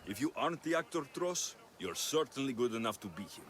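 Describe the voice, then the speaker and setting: Male actor as young mercenary hero, medium pitch, lively inflection, confident, direct, wry, video game dialogue. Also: a little fast, natural voice. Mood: persuasive. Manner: direct, assured, dryly teasing. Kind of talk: video game cutscene acting.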